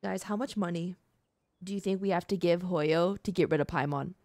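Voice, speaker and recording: A woman's voice: on the low side, moderate pace, darkish tone. A woman game streamer giving casual, reactive live commentary, informal and repeating phrases for emphasis.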